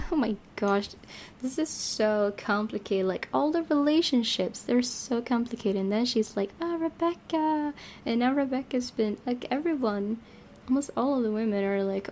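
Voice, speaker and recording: A woman's voice: a little high, moderate pace, a little mellow, slight background noise. A woman hosting a let's play, reading a visual novel aloud and adding casual commentary. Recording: game music behind the voice.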